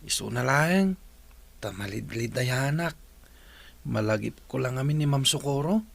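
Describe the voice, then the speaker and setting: A man playing a diligent, proud young student in a radio drama, with a creaky voice and earnest manner. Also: mid-range voice, animated, unhurried, a bit bright, exaggerated voice. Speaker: voice actor.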